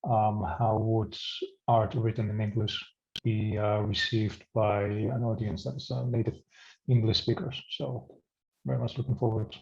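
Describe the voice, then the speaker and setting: Greek-born male novelist panelist, mellow, thoughtful, dull lo-fi audio. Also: fairly low pitch, little pitch movement, gravelly. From Greece. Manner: thoughtful, measured, with frequent ums. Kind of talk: online panel discussion.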